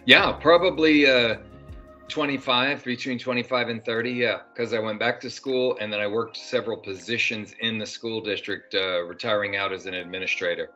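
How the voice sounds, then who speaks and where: A man's voice: fairly low pitch, steady tone, normal speed, bright, forceful tone. An older man, an actor guest, talking in a casual interview, rambling with many fillers and restarts. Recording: dull lo-fi sound with faint music.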